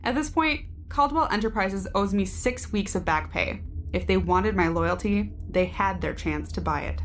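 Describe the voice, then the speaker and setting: An actress playing a cool, cynical security team member in a science fiction audio drama, terse, wry and matter-of-fact. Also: female voice, mid-range voice, normal speed, soft background music, lightly acted. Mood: wry, decisive.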